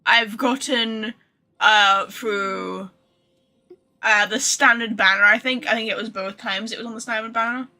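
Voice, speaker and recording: A woman's voice: on the high side, bright, forceful tone. A woman livestreaming a video game, chatting casually to viewers in a rambling, informal, sweary way.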